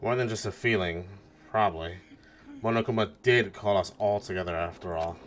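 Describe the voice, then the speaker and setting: A man voicing a mild, earnest, uneasy high school boy in an amateur visual novel playthrough. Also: normal speed, lightly acted. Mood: uneasy narration. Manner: questioning, earnest. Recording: game music behind the voice.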